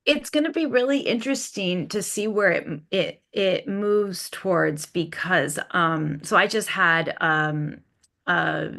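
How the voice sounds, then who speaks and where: A woman talking casually as a podcast creator in a panel discussion, animated and given to telling small anecdotes. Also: lowish voice, slow, gravelly. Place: online video call.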